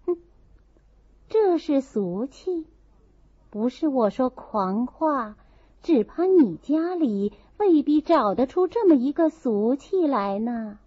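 A woman voicing a proud, fastidious, aloof young nun in a novel reading, with a haughty, cutting manner. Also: sits high, very animated, breathy edge, dry recording, voice pushed up, full character voice. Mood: haughty, teasing. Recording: slightly dull, muffled recording.

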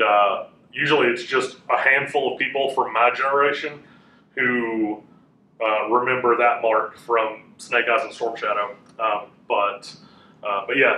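A man in his thirties teaching a class in a casual, chatty way, with a loud voice that carries and many fillers. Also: mid-range voice. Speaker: Bible teacher. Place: classroom with a whiteboard, indoors. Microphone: room mic from a fixed camera. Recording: echoey room, voice a bit far off.

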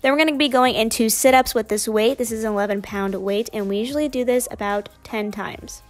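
A woman's voice: on the high side, a little fast, faint music behind. A young woman narrating her gym workout in a casual, upbeat voice-over. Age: young adult. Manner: casual, chatty, upbeat explaining. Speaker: fitness vlogger.

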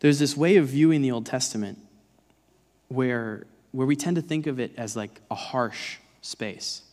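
A man's voice: medium pitch, unhurried, a little mellow. Man preaching in a reverberant hall. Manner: emphatic, dramatizes points with rhetorical questions. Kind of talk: conversational sermon. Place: large dark church stage, indoors.

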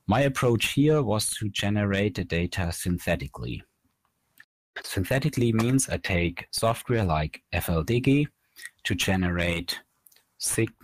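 A man in his thirties presenting a technical slide lecture as a researcher, explaining in a measured, halting way from a small room. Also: deep voice, no echo. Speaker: researcher presenting at an online academy.

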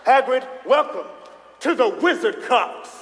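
A man's voice: extremely high pitch, animated, measured pace, a bit bright, full character voice. A man playing a brash, commanding wizard police chief in a comic staged reading, authoritative and declamatory. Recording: reverberant hall.

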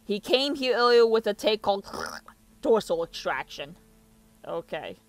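A man's voice: high-pitched, sing-song, unhurried. A young man giving casual reaction commentary and reading captions aloud, with muttered asides, on a close mic in a small room. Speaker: reaction video host.

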